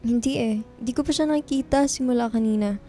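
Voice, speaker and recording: A woman's voice: fairly high pitch, fast talker, warm tone, close to an everyday voice. An actress playing a young woman who is a loyal, guarded best friend, casual and reassuring, in a radio drama. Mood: concerned, guarded. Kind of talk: radio drama acting in casual Filipino talk.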